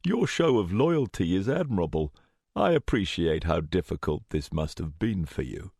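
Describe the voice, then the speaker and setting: A man's voice: deep voice, sing-song, normal speed, creaky, darkish tone, breathy edge, no room sound, studio quality, exaggerated voice. A man voicing a coolly calculating male villain in an audiobook, with formal, unruffled, conversational phrasing. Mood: smooth formality.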